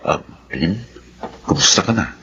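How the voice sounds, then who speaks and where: A middle-aged man playing a genial, gently courting old acquaintance in a Filipino radio drama. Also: on the low side, sing-song, measured pace, creaky, lo-fi sound, slight background noise, heavily acted. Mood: friendly.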